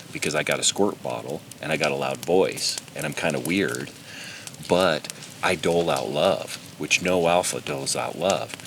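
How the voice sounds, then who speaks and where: A man's voice: very deep voice, vocal fry, noisy background. A man who guides pack goats, talking earnestly and a little rambling about his goats and his time in the backcountry. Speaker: pack goat guide and channel host. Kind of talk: casual talk to camera on the trail. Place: outdoors in alpine backcountry.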